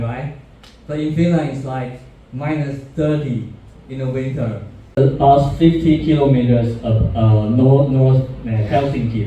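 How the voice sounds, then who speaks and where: A man in his thirties from Taiwan giving a talk to university students, halting, with many restarts and fillers. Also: medium pitch, mellow, distant mic, highs cut off, a little hiss or hum. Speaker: guest speaker. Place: university classroom. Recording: echoey lecture room from afar.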